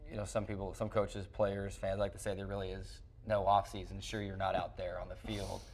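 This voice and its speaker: Fast-talking low-voiced male sports show host. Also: flat delivery. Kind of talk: broadcast interview hosting. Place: TV studio.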